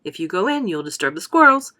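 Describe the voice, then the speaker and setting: Woman reading as eccentric factory owner man, lively, fast-talking, animated storybook voice. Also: lowish voice. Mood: warning. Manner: brisk, animated, rapid explanations. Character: eccentric quick-talking male chocolate maker.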